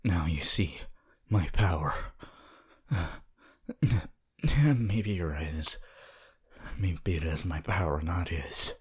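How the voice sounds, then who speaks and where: A man voicing a cold, cocky, brooding teenage boy hero in an ASMR anime roleplay, in a husky, breathy murmur. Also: full character voice. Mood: smug, resentful. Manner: slow, low murmur, often dropping to a whisper.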